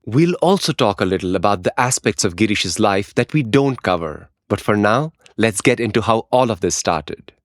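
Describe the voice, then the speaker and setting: Low, lively man co-hosting. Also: normal speed, hardly any pauses, no echo, studio quality. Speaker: podcast co-host. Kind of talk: scripted conversational podcast narration.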